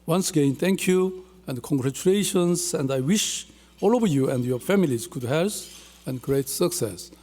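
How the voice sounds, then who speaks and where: A man's voice: fairly high pitch, lively intonation, moderate pace, mellow. Formal man in a reverberant hall. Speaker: head of government addressing the event. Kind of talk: formal address.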